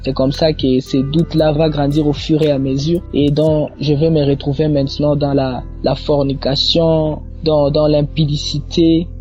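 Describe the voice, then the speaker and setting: A young man with an eastern Congolese French accent gives a personal religious testimony, haltingly, repeating words as he goes. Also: a little high, little pitch movement, dark tone. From eastern Democratic Republic of the Congo. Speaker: church member giving testimony. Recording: muffled lo-fi audio under faint music. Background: faint music.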